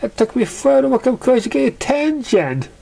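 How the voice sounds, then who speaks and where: A reader doing a high, dramatic girl pegasus. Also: big pitch swings, normal speed, gravelly, warm tone, exaggerated voice. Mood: excited admiration. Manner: exclamatory, dramatic, muttering. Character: excitable, insecure female pegasus pony, dramatic and grumbling.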